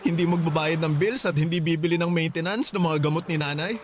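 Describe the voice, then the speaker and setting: Actor doing sad gentle young guy, tagalog radio drama, kinda high voice, self-sacrificing type. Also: fairly even intonation, quick pace, a little hiss or hum, natural voice. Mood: sad, resigned. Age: young adult. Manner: soft-hearted, earnest, sorrowful. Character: Danilo, young student boyfriend, grieving, gentle, self-sacrificing.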